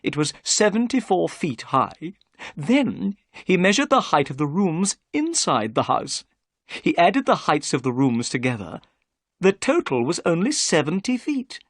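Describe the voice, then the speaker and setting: A nervous, talkative gentleman chatters eagerly, his voice lifted high and fussy, as a narrator puts him on. The tone is breathy and lively, recorded close and dry. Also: measured pace, no room sound.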